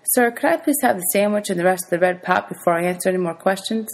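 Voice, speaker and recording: A woman voicing a quick-witted young boy in a storybook, polite, guarded and deferential. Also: medium pitch, pitched above the performer's own voice, natural voice. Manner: deferential, short careful answers.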